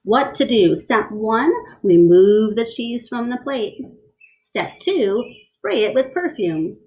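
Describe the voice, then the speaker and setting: A woman's voice: on the high side, expressive, slow pace, a little mellow. Woman reading aloud expressively to children. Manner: questioning, explains to young listeners. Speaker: read-aloud storyteller. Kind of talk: expressive picture-book read-aloud for children, with chatty asides.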